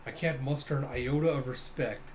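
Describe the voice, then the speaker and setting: A man's voice: medium pitch, fairly even intonation, a little fast, a little hiss or hum, natural voice. Man voicing a formal, dutiful young woman soldier. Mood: doubtful. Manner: formal, clipped military address.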